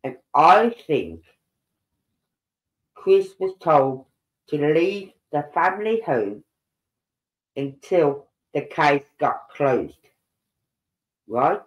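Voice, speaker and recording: A man's voice: fairly high pitch, animated, very slow, pauses often, low-quality recording. An older man with a congested nose, musing aloud as he hosts a casual true-crime livestream from home. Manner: rambling, musing aloud, breaks off mid-sentence. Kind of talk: casual livestream commentary reading posts aloud.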